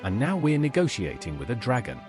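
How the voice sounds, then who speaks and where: A man's voice: medium pitch, huge pitch swings, brisk, over music. An actor playing a plain-spoken male adventurer in a video game, with a direct, questioning manner.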